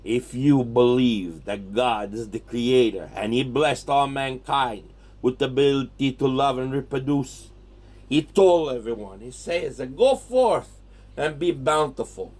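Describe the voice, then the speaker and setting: A man's voice: medium pitch, unhurried, darkish tone, natural voice. A man playing a hot-tempered old Italian grandfather in an audio drama, scolding in broken English with an Italian accent and dropping into Italian. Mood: stern. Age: older.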